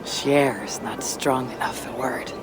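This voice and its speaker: An actress playing a weathered, brooding Viking warrior woman in a video game, speaking in a husky, solemn, deliberate way. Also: very deep voice, steady tone, background noise. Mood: wry, resolute. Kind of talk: dramatic video game acting. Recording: loud music under the voice.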